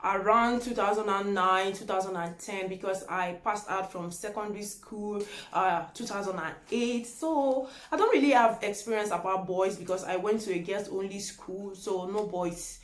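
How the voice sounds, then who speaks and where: A woman's voice: medium pitch, moderate pace. Chatty Nigerian woman in an echoey room. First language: Yoruba. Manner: chatty, repeats herself for emphasis. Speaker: vlogger. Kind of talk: casual chat answering tag questions.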